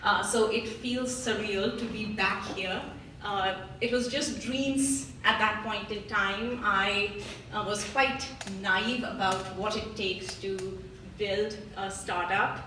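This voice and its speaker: Woman pitching a startup, hesitant with lots of fillers, echoey hall, far from the mic. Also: steady tone, slow. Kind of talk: live pitch presentation. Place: large meetup venue room. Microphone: livestream phone mic across the room. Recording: echoey room, heard from far off, noisy.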